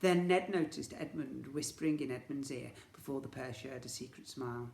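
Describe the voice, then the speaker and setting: A man reading a children's story as a teacher would, with dramatic, expressive narration. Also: medium pitch, normal speed, vocal fry. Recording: echoey room.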